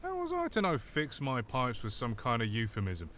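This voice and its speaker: A matey, teasing British island mechanic in a comic audio drama. His mid-pitched voice moves about in a very animated way, with faint vehicle noise behind it. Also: moderate pace. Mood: cheeky, teasing. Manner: chatty, teasing. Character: cheeky island mechanic, matey petty crook giving car tips.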